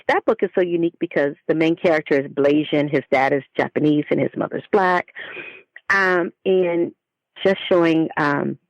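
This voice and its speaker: A woman's voice: on the low side, dry recording, low-quality recording. A woman speaking as a children's author in an interview, explaining things thoughtfully in a conversational way.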